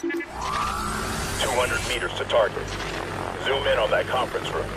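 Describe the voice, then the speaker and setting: A man's voice: on the low side, big pitch swings, unhurried, vocal fry, very noisy, exaggerated voice. A man acting a businesslike military operator, relaying clipped, procedural updates in a shooter game. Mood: focused. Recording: loud game music over the voice.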